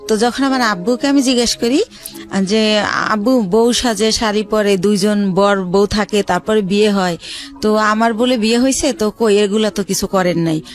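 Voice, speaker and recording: A woman from Bangladesh tells her life story as a guest on a radio show. Her voice sits in a middle pitch, and her speech is halting, with many pauses and fillers. Faint music plays underneath.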